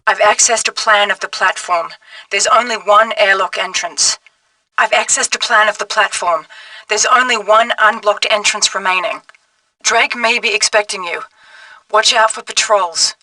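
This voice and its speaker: An actress playing a capable female agent who gives a spy brisk mission guidance in a video game. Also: mid-range voice, bright, forceful tone, dry recording, recorded from a distance, natural voice, low-quality recording. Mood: composed, businesslike.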